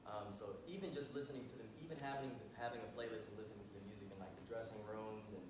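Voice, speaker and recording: A young man talks in an easygoing, rambling way, full of "like" and "um". The sound is distant and echoey, like a theater heard from the back. Background noise hums clearly under him. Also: fairly low pitch, fairly even intonation, racing. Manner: loose and chatty, many fillers like "like" and "um". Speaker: stage actor on a talkback panel. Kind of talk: casual panel answer. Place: theater stage. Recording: echoey hall from far off, noisy.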